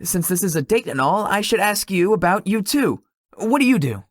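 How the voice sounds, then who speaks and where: A man playing a lazy, awkward young tech founder, lively pitch. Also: moderate pace, no room sound, clean studio sound. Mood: awkward, tired. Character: Sloth, lazy, sweet-toothed young male tech company founder. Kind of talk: visual novel character dialogue.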